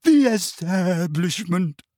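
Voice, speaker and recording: Brief: male reader as a theatrical middle-aged witch, shrill and quavering, swinging wildly in pitch, full of wounded pride. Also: lively intonation, takes it slowly, a little mellow, no room sound. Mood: dramatic. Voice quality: high-pitched, wavering, theatrical. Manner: dramatic, self-pitying, throbbing with emotion. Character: hysterical insulted middle-aged witch seer.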